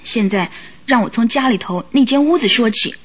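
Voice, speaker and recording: A woman's voice: a little high, expressive, fairly quick, fairly bright tone, husky. An actress playing an anxious young woman who recounts events earnestly in a radio drama. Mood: grave, recounting. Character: anxious young woman client recounting her sister's death. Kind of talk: Mandarin radio drama acting. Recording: radio drama with music behind.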